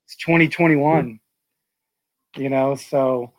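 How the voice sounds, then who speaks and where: A man's voice: medium pitch, steady tone, takes it slowly, low-quality recording. A man hosting an interview, talking casually with many fillers and restarts. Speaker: podcast host.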